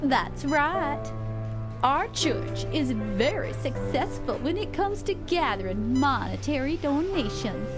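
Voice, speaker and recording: A woman's voice: high-pitched, sing-song, slow pace, over music, exaggerated voice. A woman acting a polite, devout preacher's wife in a radio drama, speaking earnestly.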